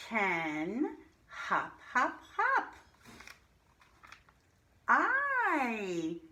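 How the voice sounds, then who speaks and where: Woman, primary school teacher, very slow careful delivery, animated pitch, deliberate word-by-word reading, roomy echo with faint noise. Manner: deliberate, enunciates single words, addresses children directly. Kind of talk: read-aloud reading lesson for young children.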